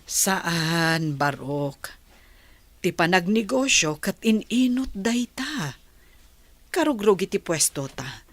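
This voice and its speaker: Casting: actress for Ilocano Filipino village mother, patient counsel, measured pace, close dry radio drama sound. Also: lowish voice, sing-song, fairly bright tone, no room sound, full character voice. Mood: patient, advising.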